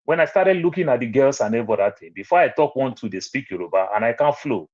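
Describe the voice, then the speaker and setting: A man's voice: medium pitch, animated, fast talker, darkish tone. A man with a Nigerian accent, rambling through personal stories on an online panel. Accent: Nigerian English. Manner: rambling, storytelling, repeats phrases. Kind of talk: casual online panel talk. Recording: muffled lo-fi online audio.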